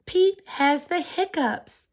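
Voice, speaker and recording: A woman, a preschool teacher, reading a picture book aloud to young children in a slow, expressive storytelling manner. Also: sits high.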